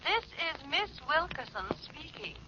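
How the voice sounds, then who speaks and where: A woman's voice: high-pitched, very animated, measured pace, pushed and bright, exaggerated voice. An actress in a 1940s radio drama playing a brassy, scheming woman with haughty, theatrical airs. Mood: haughty, formal. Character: brassy, scheming female blackmailer with showy airs. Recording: dull old radio recording, hiss.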